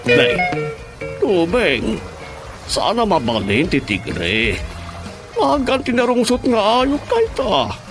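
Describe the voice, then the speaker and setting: A man's voice: high-pitched, wildly swooping pitch, slow, pauses often, full character voice. A man acting in a radio drama comedy teaser in a dramatic manner. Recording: loud music with plucked guitar behind.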